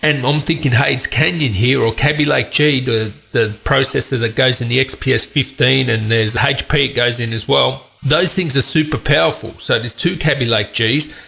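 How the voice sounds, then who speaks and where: A man's voice: mid-range voice, normal speed, vocal fry, hardly any pauses, sharp tone. A man with a cold and a congested voice, hosting a tech channel with chatty, opinionated commentary and rambling asides. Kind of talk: casual tech news commentary.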